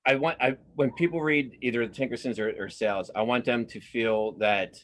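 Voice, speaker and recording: A man's voice: mid-range voice, steady tone, fairly quick, a bit bright. A man speaking casually as an interview guest, a cartoonist and writer who often repeats words and restarts his phrases.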